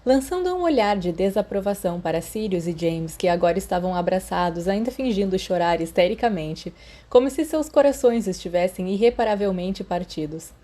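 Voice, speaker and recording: A woman's voice: mid-range voice, animated, fairly quick, dark tone. A woman with a Brazilian Portuguese accent reading scripted fiction as an audiobook narrator.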